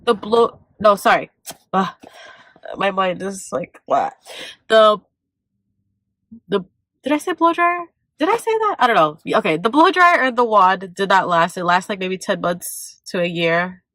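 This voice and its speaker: A woman's voice: mid-range voice, expressive, unhurried, pauses often, fairly bright tone, no echo. A young woman vlogging from a parked car, sounding stuffy and congested, rambling with lots of fillers and self-corrections. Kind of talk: casual vlog chat.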